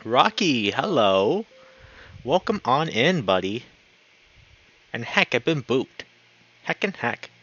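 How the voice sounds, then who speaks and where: A man hosting a game livestream, chatting casually and excitably with his viewers. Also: mid-range voice, slow, long pauses, lo-fi sound, faint music behind. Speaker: game streamer and host. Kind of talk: casual livestream chat while playing a visual novel.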